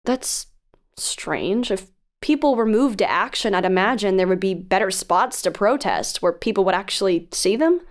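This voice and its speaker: A woman's voice: mid-range voice, expressive, moderate pace, lightly acted. An actress playing a protective, insistent female investigative podcaster in an audio drama, questioning firmly and earnestly. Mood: probing, suspicious. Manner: probing, firm, earnest. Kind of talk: audio drama phone dialogue.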